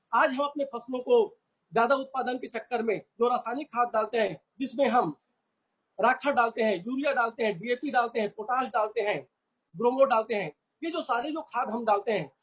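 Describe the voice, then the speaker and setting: A man's voice: very high voice, a bit bright, breathy edge, low-quality recording. A man from India giving an earnest product training talk, addressing listeners as friends and repeating phrases.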